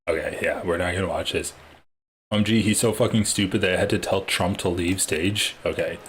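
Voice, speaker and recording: A man's voice: deep voice, fairly even intonation, fairly quick. A man with a Midwestern American accent hosting a casual political livestream, sarcastic and full of swearing. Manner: profane, mocking, sarcastic.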